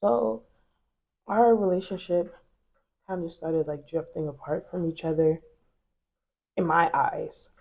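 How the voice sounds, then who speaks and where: A woman's voice: lowish voice, steady tone, slow, gravelly, long pauses, darkish tone. A woman in her twenties, stuffy from a cold, telling a personal story to her viewers in a chatty, candid way full of fillers. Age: 20s. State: congested from a cold. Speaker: vlogger. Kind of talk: casual storytelling to camera.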